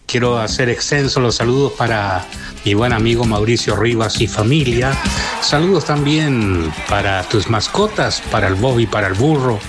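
Mexican man, mid-pitched, slightly sore throat, radio caller, music behind. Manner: warm, unhurried, listing greetings. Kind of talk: phoned-in greetings.

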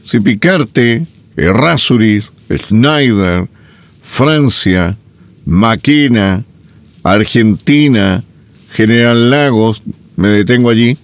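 A Chilean man reads the morning news on local radio, breaking into folksy, chatty asides between items. His pitch sits in the middle range, and the room sounds dry and close. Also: no room sound.

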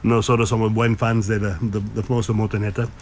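A middle-aged or older man from the United States, a native English speaker with an American accent, talking haltingly as a radio guest. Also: fairly low pitch, flat delivery, a little mellow. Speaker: Rotary club guest. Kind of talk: casual radio interview. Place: radio studio. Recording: faint background noise.

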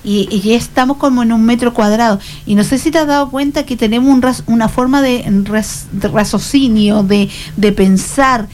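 A woman with a Chilean accent talks in a notably high voice. She is chatty and conversational, explaining her points through small imagined dialogues, as a panelist in an informal discussion would. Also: normal speed, few pauses, darkish tone, a little hiss or hum. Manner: chatty, illustrates points with acted-out everyday dialogues.

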